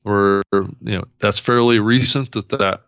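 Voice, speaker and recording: Male podcast co-host, deep voice, measured and unhurried, muffled lo-fi line. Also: fairly even intonation, gravelly, a bit bright, clear, resonant tone, dry recording. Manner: measured, filler-heavy explaining. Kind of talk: casual podcast chat. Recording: dull lo-fi audio.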